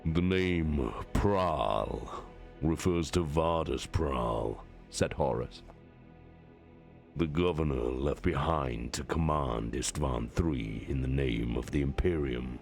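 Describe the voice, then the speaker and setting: A man voicing a regal, calculating male warmaster who gives a measured, authoritative battle briefing. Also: a bass voice, gravelly, soft background music, pitched below the performer's own voice, exaggerated voice. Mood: commanding.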